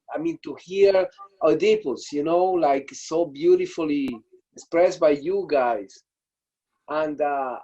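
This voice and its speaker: A man speaking up on a video call, halting and searching, with constant fillers as he gropes for ideas. His voice is fairly high for a man and mellow in tone. The call audio sounds dull and lo-fi. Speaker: audience participant. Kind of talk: spontaneous reflective remarks.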